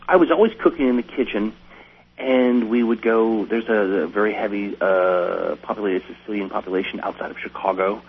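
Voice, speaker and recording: An American man tells stories casually as a guest, halting and restarting as he goes. His voice sits fairly low with a gravelly creak. The recording is dull radio audio with a faint hum. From Wisconsin, United States. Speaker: chef, interview guest. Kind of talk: casual interview storytelling.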